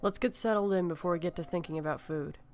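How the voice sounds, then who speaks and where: Woman playing a tough low-voiced army veteran. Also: fast talker, soft background music, close to an everyday voice. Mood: wry. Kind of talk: audio drama dialogue.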